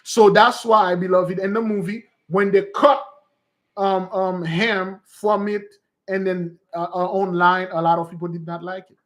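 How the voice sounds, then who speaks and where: A man speaks in a somewhat high voice, teaching his listeners in a loose, unscripted way. He circles back and repeats himself as he goes. He calls his audience "beloved brothers and sisters" like a preacher. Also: flat delivery, measured pace. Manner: rambling and repetitive, addresses listeners as beloved. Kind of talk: informal teaching monologue.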